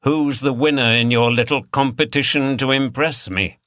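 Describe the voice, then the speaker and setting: A man voicing an authoritative senior police detective who gives brisk, decisive orders in an audiobook. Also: medium pitch, fairly even intonation, a bit bright, no echo, studio quality, voice pushed up, close to an everyday voice. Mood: brisk, knowing. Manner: decisive, commanding. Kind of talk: audiobook character dialogue.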